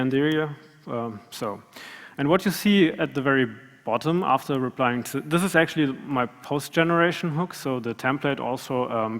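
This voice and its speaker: A man's voice: mid-range voice, a bit bright. A man giving a live coding demo at a conference, talking hesitantly with many fillers and restarts. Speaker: conference speaker. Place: large conference room. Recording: long hall reverb.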